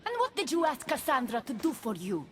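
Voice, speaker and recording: An actress playing an imperious, suspicious tyrant goddess in a video game, haughty and accusing. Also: female voice, high voice, wildly swooping pitch, fairly quick, slight background noise. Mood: angry, accusing. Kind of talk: scripted video game dialogue.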